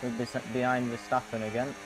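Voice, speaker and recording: A man's voice: mid-range voice, level tone, fairly quick. A man making casual remarks while playing a racing game, with engine noise behind him. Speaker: video game player. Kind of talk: casual muttered gameplay remarks.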